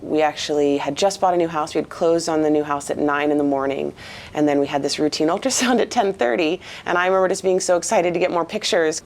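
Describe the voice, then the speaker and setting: Casting: American woman, 40s, very low voice with vocal fry, earnest and reflective interview delivery. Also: darkish tone, a little hiss or hum. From Maine, United States. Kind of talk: personal interview account.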